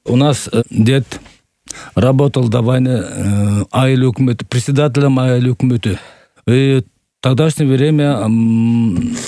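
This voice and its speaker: A man's voice: medium pitch, mellow, dry recording, clean studio sound. A man, answering a radio interviewer hesitantly, with many fillers. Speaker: guest, relative of a missing soldier.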